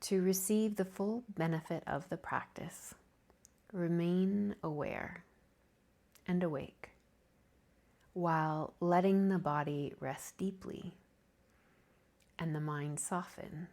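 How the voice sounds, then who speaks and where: A woman teaching yoga nidra, leading a guided meditation in a gentle, calming, softly breathy way. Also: lowish voice, takes it slowly, pauses often. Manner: calming, gentle, soft-spoken with breathy softness.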